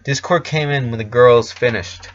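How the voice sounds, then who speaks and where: A man reading a scripted story as an amateur narrator, halting and stumbling a little over the text. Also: medium pitch, lo-fi sound.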